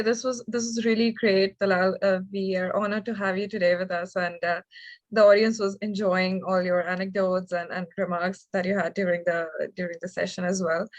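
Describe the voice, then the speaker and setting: A woman's voice: fairly low pitch, no room sound. A young woman student co-host giving gracious closing thanks to a guest. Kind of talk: closing remarks.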